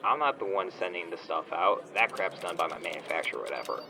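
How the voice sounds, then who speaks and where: A man's voice: deep voice, lively intonation, quick pace, creaky, noisy background. A man playing a smug, entitled online shop owner on a phone call in a comedy audio drama, whiny, condescending and petulant in casual slang. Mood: irritated, whiny. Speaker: caller, complaining customer.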